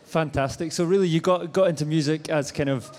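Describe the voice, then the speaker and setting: A man's voice: fairly high pitch, fairly quick, a little mellow. Man interviewer in a reverberant hall. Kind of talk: interview questions. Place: large store event space.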